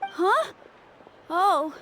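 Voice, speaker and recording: A woman's voice: very high-pitched, very animated, very slow, fairly bright tone, slight background noise, full character voice, rough recording. A woman voicing a shy, polite young child in a video game, timid and earnest. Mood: apologetic, subdued. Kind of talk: video game character acting. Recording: music playing behind the voice.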